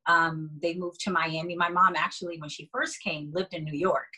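A young woman from Haiti talks over a video call from a bedroom. Her voice sits a little low but sounds bright, with a slight husk. She speaks chattily, full of fillers. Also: moderate pace, slightly rough, poor audio. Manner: chatty, fillers, laughs often. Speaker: actor guest. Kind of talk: casual video-call interview. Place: bedroom indoors.

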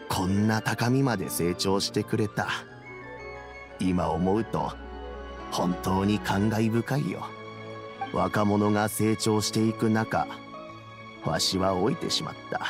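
An actor playing an aging, reflective elder statesman in a Japanese game, with gravelly, measured, old-fashioned speech. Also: on the low side, steady tone, over music. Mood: wistful. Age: older.

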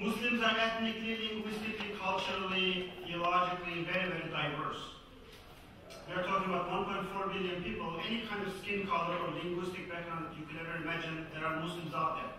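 A man with a Turkish accent, from Turkey, giving a humorous university lecture in measured, emphatic phrases, recorded in a campus lecture hall. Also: high-pitched, fairly even intonation, pushed and bright, slight background noise. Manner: humorous, jokes with the audience. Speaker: guest lecturer. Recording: echoey hall recorded from far off.

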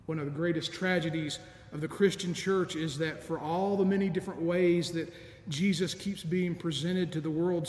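Middle-aged man, deacon preaching, slightly high voice, long reverb of an empty church, faint rumble. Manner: earnest, measured preaching with soft laughs. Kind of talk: sermon. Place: large empty church sanctuary. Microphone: stand mic in front of lectern, camera at a distance.

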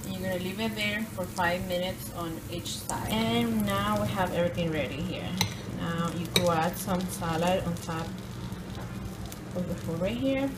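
A woman giving a casual home cooking tutorial, talking through each step in plain, halting instructions. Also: on the low side. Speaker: home cook. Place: home kitchen. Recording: distant phone audio with loud kitchen noise.

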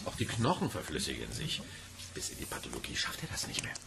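A man's voice: very deep voice, wildly swooping pitch, fast, gravelly, heavy background noise, full character voice. An actor playing a businesslike, curt male police inspector in a German radio drama.

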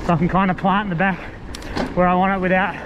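A man's voice: sits high, little pitch movement, fast talker. A man giving casual, laid-back, fragmented gear commentary while riding a mountain bike. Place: outdoors on a bushland trail, riding a bike. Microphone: action camera worn while riding. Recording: muffled action-camera audio, loud wind and trail noise.

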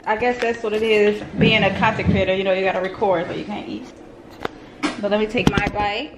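A woman's voice: mid-range voice, little pitch movement, fairly quick, heavy background noise. A young woman vlogging as she cooks in her home kitchen, chatting casually and calling her viewers y'all. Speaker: cooking vlogger. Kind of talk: casual cooking chat. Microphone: camera on tripod and phone.

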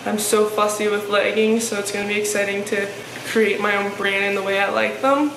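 Deep-voiced young woman, flat and chatty. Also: brisk. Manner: chatty, frank, lots of "like" fillers. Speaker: fitness vlogger. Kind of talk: casual Q&A vlog. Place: living room, seated on a sofa. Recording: echoey room with faint hiss.

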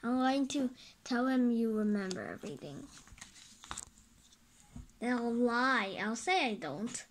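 Female read-aloud narrator for a kids' graphic novel: high-pitched, slow, slightly stumbling delivery of dialogue. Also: expressive, slight background noise. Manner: halting, stumbles over and repeats words. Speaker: storybook reader.

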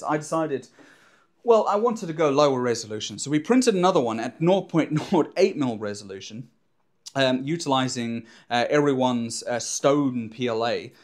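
A young man chats to camera in a small home studio, recorded close on a lapel mic. He rambles happily, piling up fillers and restarting sentences, his ordinary mid-range voice full of enthusiasm for his hobby. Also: medium pitch. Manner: rambling, many fillers and restarts, animated hand gestures. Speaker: maker and drone surveyor vlogger. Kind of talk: casual enthusiastic talk to camera. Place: indoor home studio in front of a backdrop. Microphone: clip-on lapel mic.

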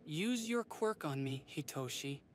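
A woman voicing a secretive, frightened teenage boy vigilante in a fanfiction audiobook, emotional and halting, breaking into stammers. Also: low-pitched, lively intonation, dry recording, studio quality. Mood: flat. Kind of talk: podfic voice acting.

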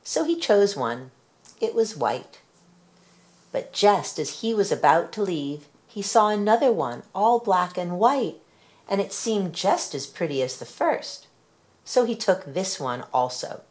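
A woman reading a children's story aloud, with expressive, deliberate phrasing. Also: medium pitch. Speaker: storybook reader.

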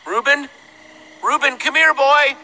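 A man's voice: extremely high pitch, unhurried, pushed and bright, full character voice. An actor playing an earnest, anxious young man in a video game, calling out expressively and urgently. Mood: worried, searching. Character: earnest, anxious young man searching for his lost pig.

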